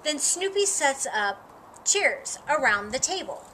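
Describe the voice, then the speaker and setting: A middle-aged woman reading a children's picture book aloud with animated expression, acting out the dialogue. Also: sits high, a little slow, slight background noise. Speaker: storytime reader. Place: outdoor patio with lattice and ivy.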